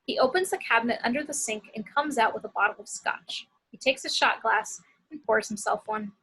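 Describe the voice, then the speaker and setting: Lady reading stage directions from a script, highish bright voice, bit raspy. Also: a little fast, poor audio. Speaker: narrator reading action descriptions. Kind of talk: scripted narration of screenplay action.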